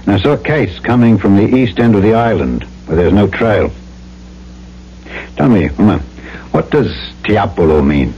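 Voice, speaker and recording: Low-voiced, folksy tough island trader played by a man. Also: expressive, normal speed, dark tone, a little hiss or hum, lightly acted. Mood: determined. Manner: folksy, colloquial, self-assured. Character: tough, plain-spoken, defiant male island trader and storyteller. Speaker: actor, lead and narrator. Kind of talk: old-time radio drama acting and first-person narration. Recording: muffled old radio recording with music behind.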